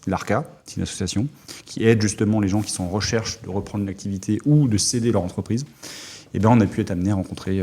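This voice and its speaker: A middle-aged man from Alsace, a former army officer, talking as an interview guest in a halting way with many fillers. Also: low voice, gravelly, warm tone. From Alsace, France. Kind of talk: casual interview talk.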